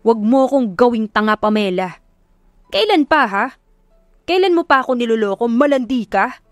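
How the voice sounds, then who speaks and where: A woman's voice: a little high, animated, moderate pace, no echo, studio quality, soft background music, lightly acted. A woman voicing a furious, drunk, jealous and bullying boyfriend in an audiobook drama, accusing and domineering. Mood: angry, threatening.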